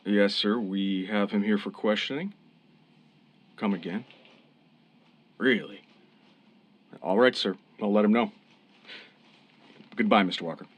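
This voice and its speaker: An actor playing a calm, fair-minded police officer in a radio crime drama, measured and authoritative. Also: male voice, lowish voice. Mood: authoritative, deferential. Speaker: police interrogator. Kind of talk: old-time radio crime drama acting.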